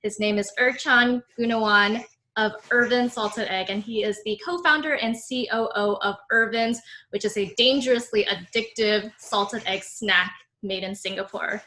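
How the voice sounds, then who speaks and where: A woman's voice: mid-range voice, steady tone, moderate pace, sharp tone. A woman co-hosting a podcast, upbeat and welcoming as she opens the interview. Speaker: podcast co-host.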